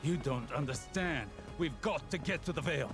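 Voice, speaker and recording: An actor playing an impatient, learned older warrior-scholar in a video game, formal and urgent. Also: male voice, a little high, lively intonation, normal speed. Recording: loud game music behind the voice.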